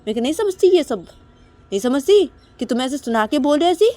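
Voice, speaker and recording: An indignant young woman, as a female storyteller acts her out in an audio drama. Her voice sits high, and she argues defiantly. She hammers the same phrases again and again. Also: sing-song, fairly quick, dark tone, no room sound. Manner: indignant, repeats phrases insistently. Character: angry young daughter, defiant and argumentative.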